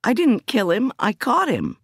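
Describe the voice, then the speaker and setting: Narrator doing a stubborn shaken lady prosecutor, higher pitched, clipped and insistent, thriller audiobook. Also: wildly swooping pitch, unhurried, gravelly, breathy edge, no echo, clean studio sound, exaggerated voice. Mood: indignant, insistent. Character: shaken, stubborn female prosecutor, curt and challenging. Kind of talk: audiobook character dialogue.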